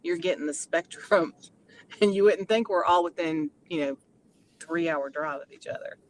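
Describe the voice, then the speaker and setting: A middle-aged woman with a Tennessee accent, chatting in an animated, storytelling way as a guest. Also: fairly low pitch, moderate pace, slight background noise, low-quality recording. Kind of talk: casual chat.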